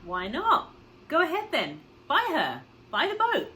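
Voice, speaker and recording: A woman voicing a practical, measured mother from a children's story as she weighs a daring plan. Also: high-pitched, huge pitch swings, bright, forceful tone, voice pushed up, exaggerated voice. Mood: decisive.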